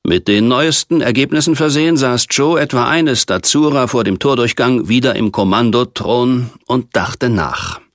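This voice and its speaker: A man narrating a science fiction novel as an audiobook, reading in a measured, precise literary manner. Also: medium pitch, no echo, clean studio sound.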